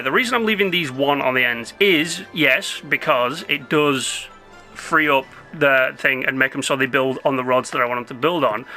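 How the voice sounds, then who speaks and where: A young man giving rambling, casual commentary while playing a city-building game, his voice going hoarse. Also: medium pitch, bright, forceful tone. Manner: chatty, rambling, self-interrupting. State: losing his voice. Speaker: gameplay commentator.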